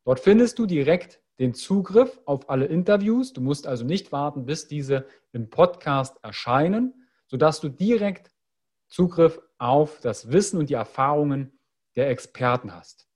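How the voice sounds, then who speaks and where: A man's voice: on the high side, expressive, normal speed, a little mellow. A man hosting a health podcast, reading an upbeat promotional intro and then interviewing a guest. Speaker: podcast host and health coach.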